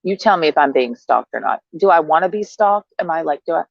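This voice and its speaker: A woman's voice: sits low, lively intonation, fast, creaky, dry recording, dull audio. A woman in her thirties vlogging in a brash, profane, sarcastic monologue, laughing often as she talks. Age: 30s. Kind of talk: casual monologue to camera.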